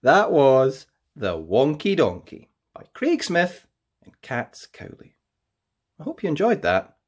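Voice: mid-range voice, wildly swooping pitch, takes it slowly, breathy edge. A storyteller reading a children's picture book aloud in a playful, theatrical way, drawing out key words for emphasis. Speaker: storyteller, host of a read-aloud show.

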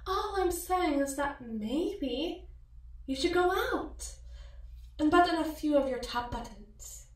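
Echoey, slow-taunting mirror double played by a woman. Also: high voice, lively intonation. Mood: coaxing, cutting. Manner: mocking, cooing, drawn-out teasing. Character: taunting female mirror alter ego, sly and seductive. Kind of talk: ASMR roleplay acting. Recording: echoey, distant-sounding voice.

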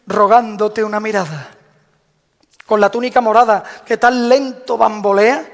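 Man, gravelly, solemn declamatory verse prayer in a big echoing hall. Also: very high-pitched. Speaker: Holy Week proclaimer. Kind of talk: recited devotional verse proclamation. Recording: long hall reverb.

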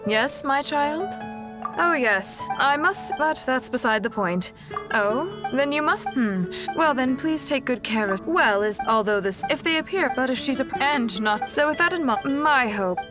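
A woman's voice: sits high, expressive, measured pace. A woman playing a composed, kindly church sister in a video game. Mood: calm. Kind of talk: game dialogue acting. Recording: background music.

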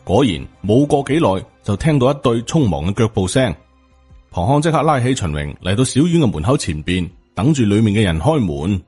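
A man's voice: fairly low pitch, sing-song, a little fast, darkish tone, husky. A man with a Hong Kong Cantonese accent narrating a supernatural novel as an audiobook. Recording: music behind the voice. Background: background music.

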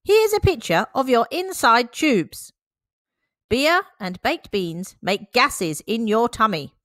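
A woman reading the lines of a brisk, no-nonsense male dog doctor in a picture book, firm and explanatory. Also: a little high, sing-song, a bit bright, no echo, studio quality, voice pushed up, heavily acted. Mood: lecturing.

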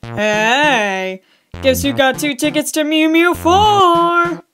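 A woman's voice: high-pitched, slow pace. A woman voicing an upbeat female creature in a cartoon comic dub. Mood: curious, upbeat. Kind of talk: comic dub character acting. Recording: music behind.

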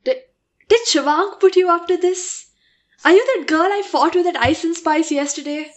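A flustered young actress with an Indian accent , played by a woman in a radio play. Her voice is high, and she protests with defensive indignation. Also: moderate pace. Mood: flustered, indignant. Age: young adult.

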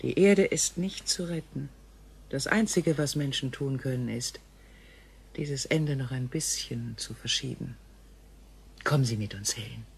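A woman playing a grave, gentle female alien visitor in a science fiction radio play, speaking in formal, measured phrases. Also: very deep voice, creaky, a little hiss or hum, heavily acted. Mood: sorrowful, solemn.

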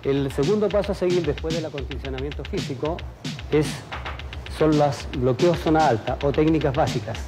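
A man's voice: a little high, moderate pace, over music. A middle-aged man, a karate instructor explaining a technique step by step for television. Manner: counting drills, step-by-step explaining. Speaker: karate school director and instructor. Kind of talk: instructional demonstration for TV. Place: indoor karate dojo.

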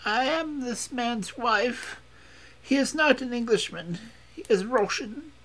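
A narrator voicing a defiant, dignified foreign woman in an audiobook story, speaking gravely and formally. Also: mid-range voice, expressive, takes it slowly, fairly bright tone, pitched above the performer's own voice, full character voice, low-quality recording. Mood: resigned, solemn.

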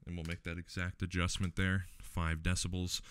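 Deep-voiced man explaining a software setup. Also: moderate pace, slight background noise. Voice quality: deep low voice. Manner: relaxed step-by-step explaining with fillers. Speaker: tech tutorial host. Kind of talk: screen-recorded software tutorial.

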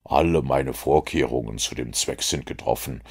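A man voicing a calm, confident detective who explains his reasoning in a measured, lecturing way. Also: extremely low pitch, slightly rough, exaggerated voice. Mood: resolute. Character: calm, confident male detective, middle-aged.

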